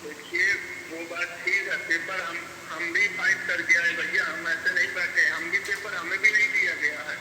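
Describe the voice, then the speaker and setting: High-voiced man on an echoey, noisy call. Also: fairly even intonation, moderate pace. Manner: firm, defensive, corrective. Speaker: university technical official. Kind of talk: phone conversation, explaining.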